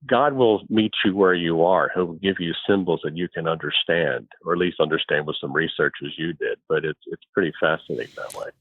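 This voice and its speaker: A very low-voiced man talks thoughtfully as an interview guest. He often repeats a word or two before a thought settles. His voice comes through a thin, phone-like line with no room around it. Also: fairly quick, few pauses, no room sound. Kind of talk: relaxed conversational interview. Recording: tinny phone-like line, dry.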